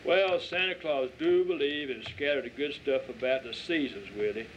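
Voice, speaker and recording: A man's voice: medium pitch, normal speed, a bit bright, close to an everyday voice. A man voicing a folksy, patient rural Southern reverend who questions children like a preacher, in a dramatic story reading. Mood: patient, agreeing. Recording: dull lo-fi audio with faint noise.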